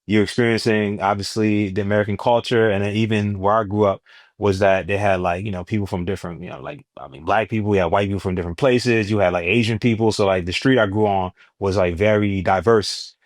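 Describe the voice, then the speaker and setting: Laid-back young Jersey man, low voice. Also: a little fast, no echo, clean studio sound. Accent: New Jersey. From New Jersey, US. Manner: laid-back, slangy, animated when telling stories. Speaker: guest, stand-up comedian. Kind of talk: casual interview chat.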